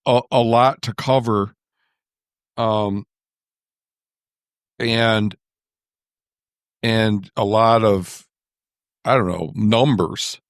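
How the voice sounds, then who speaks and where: Low, slow male host, casual and wry, dead-dry recording. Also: fairly even intonation, clear, resonant tone, no room sound. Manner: slow, thoughtful, self-deprecating humor. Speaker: podcast host. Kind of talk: casual podcast chat.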